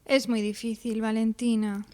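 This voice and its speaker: A woman's voice: fairly high pitch, flat delivery, moderate pace, a little mellow, close to an everyday voice. An actress playing an earnest, determined young woman in a theatrical radio drama. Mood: guarded.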